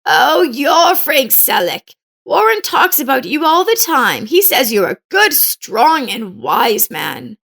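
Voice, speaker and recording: A woman's voice: sits high, wildly swooping pitch, slow, a bit bright, pitched above the performer's own voice, heavily acted. A woman voicing a kindly, sorrowful elderly neighbor with an earnest, emphatic manner in an audio story.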